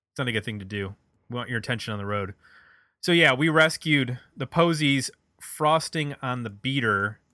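A man's voice: medium pitch, bright, forceful tone, no echo. A middle-aged man chatting casually as the host of a music podcast.

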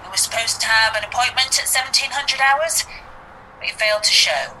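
A female detective inspector, played by an actress in an audio drama, concerned and persistent. Her voice is very bright and sharp and fairly high, coaxing and measured, over faint background noise. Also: rough recording. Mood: concerned, official.

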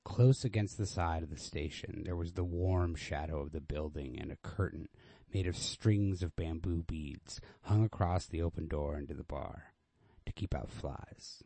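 A man's voice: sits low, normal speed, gravelly, mellow, no echo. A man hosting casually and reading a story aloud in an informal, unrehearsed, self-deprecating way.

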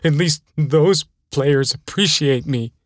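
A man's voice: fairly high pitch, takes it slowly, dry recording, clean studio sound. A man voicing a self-pitying youth baseball coach in a comic story reading. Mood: sulky.

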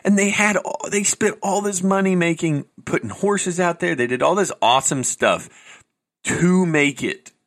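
Man with a bright, forceful voice. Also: high-pitched, unhurried. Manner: opinionated, animated, trails off into restarts. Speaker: podcast co-host. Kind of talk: casual chat.

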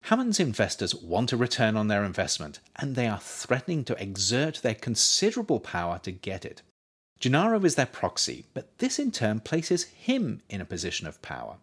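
A man, the author, reading his own nonfiction book aloud in a measured, emphatic way, lifting key words and dropping his voice at the ends of sentences. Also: lowish voice, wildly swooping pitch, husky. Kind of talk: audiobook narration.

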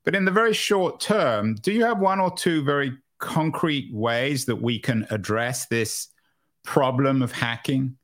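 A male podcast host with a medium-pitched voice conducts an interview. He speaks conversationally, putting probing questions and qualifying his own phrasing along the way. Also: animated, unhurried, a bit bright.

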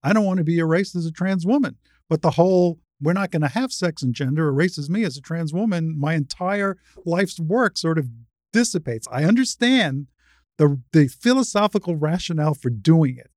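Older woman with a low-set voice, debating. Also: lively intonation, moderate pace, a little mellow, dry recording, clean studio sound. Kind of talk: spirited debate in conversation.

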